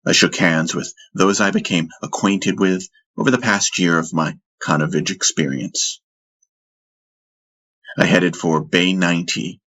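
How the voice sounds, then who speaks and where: A man narrating first-person fiction in a measured, dramatic storytelling manner. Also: sits low, a bit bright. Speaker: audiobook narrator.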